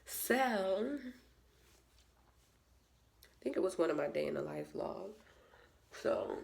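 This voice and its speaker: A woman's voice: on the low side, lively intonation, slow pace, creaky, a little mellow. A woman vlogging casually, chatty and rambling, and nasal and stuffy from a cold. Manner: chatty, rambling, uses slang. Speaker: vlogger and soldier. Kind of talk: casual vlog chat.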